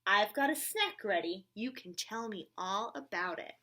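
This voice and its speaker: A woman's voice: medium pitch, lively intonation, a little slow, pushed and bright. A woman reading the part of a delighted, doting grandmother in a children's storybook, full of encouragement.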